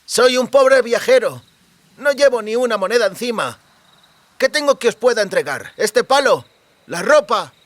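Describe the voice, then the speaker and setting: A man's voice: animated, normal speed, no room sound. A man voicing a frightened young male traveller, pleading shrilly in a fantasy audiobook. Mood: panicked pleading. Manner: shrill, shrieking plea.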